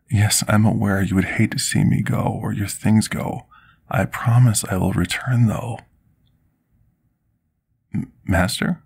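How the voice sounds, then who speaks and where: Man playing a slow, gravelly, deferential fantasy potion master. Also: low voice, exaggerated voice. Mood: pleading, then concerned. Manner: formal, courteous, deferential, hesitant. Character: devoted male potion master, deferential servant to a dragon. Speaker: ASMR roleplay performer. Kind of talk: intimate fantasy ASMR roleplay.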